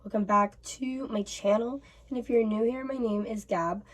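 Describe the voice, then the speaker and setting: Raspy-voiced young woman vlogging casually. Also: on the high side, fairly even intonation, normal speed. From North America. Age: 20s. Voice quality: casual, rambling with fillers. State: raspy from bronchitis. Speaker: YouTube host. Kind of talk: casual reaction vlog.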